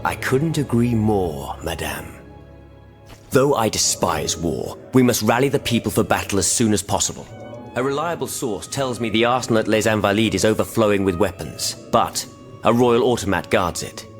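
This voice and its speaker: French revolutionary leader, male actor: low, courteous, formal, rallying, game music underneath. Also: lively intonation, normal speed, natural voice. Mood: earnest, determined. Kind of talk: video game voice acting. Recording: game dialogue over music.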